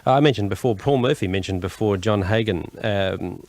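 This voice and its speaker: A man's voice: lowish voice, brisk, a little mellow, dry recording. An Australian man presenting live radio interviews in a hesitant, conversational way. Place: radio studio.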